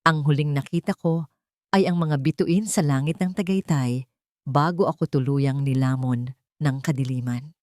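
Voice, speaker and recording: Female audiobook narrator, lower register, emotive and deliberate, dry close sound. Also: a little mellow, dry recording. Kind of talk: dramatic scripted first-person story narration.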